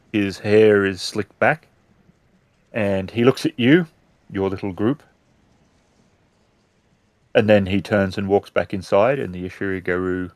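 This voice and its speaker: A man acting as game master, narrating a tabletop roleplaying game in measured, piecemeal phrases. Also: fairly low pitch, a little mellow, no room sound.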